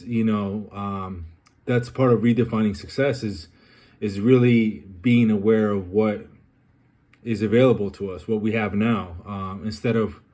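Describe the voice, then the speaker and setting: A young man from Colombia speaks his mind in a personal vlog. His voice sits a little low, and he thinks aloud, pausing often on ums and uhs as he works through his thoughts. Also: mellow. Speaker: vlogger. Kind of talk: personal reflective monologue.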